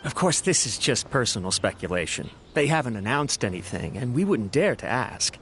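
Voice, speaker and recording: A man's voice: medium pitch, sing-song, moderate pace, fairly bright tone, slight background noise. A man playing a friendly, polite and eager young servant in a video game, courteous and chatty. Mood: speculating, careful.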